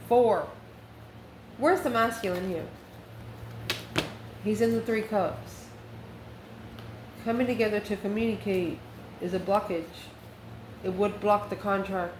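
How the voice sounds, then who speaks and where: Female tarot channel host, mid-pitched voice, off-the-cuff and musing, recorded in a roomy space with faint noise. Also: fairly even intonation, a little slow, long pauses, darkish tone. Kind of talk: casual, improvised tarot reading.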